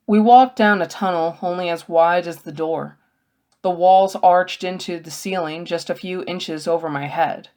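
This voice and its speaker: A woman's voice: fairly low pitch, measured pace, a little mellow. A woman narrating a scripted first-person story as an audiobook reader.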